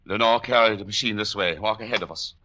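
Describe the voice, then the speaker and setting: An actor playing a cold, menacing doctor in a 1940s radio drama, speaking formally, precisely and imperiously. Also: male voice, lowish voice, fast, sharp tone. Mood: cold, commanding. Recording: noisy, clicking 1940s radio recording.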